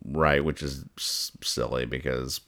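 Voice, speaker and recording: A man hosting a casual podcast, talking through comic strips in a halting, mock-analytical way and reading out the dialogue. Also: rumbling low, fairly even intonation, sharp tone. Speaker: podcast host. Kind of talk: casual chat, describing comic strips and explaining the jokes.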